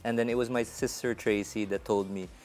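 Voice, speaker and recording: A man from the Philippines with a Filipino accent, a chef talking casually in an interview, relaxed and halting, slipping in Filipino phrases. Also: lowish voice, faint music behind. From Philippines (Bacolod). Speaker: chef guest.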